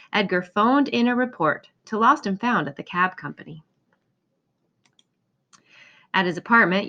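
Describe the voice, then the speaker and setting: A woman reading a children's picture book aloud in an expressive storytelling manner. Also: medium pitch, muffled recording. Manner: expressive, acts out exclamations and dialogue. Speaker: storybook reader.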